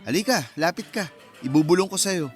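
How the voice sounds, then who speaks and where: A bossy teasing friend in a radio drama, high voice, faint music under it. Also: male voice, expressive, brisk. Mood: cheeky, bossy. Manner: teasing, scolding, exclamatory.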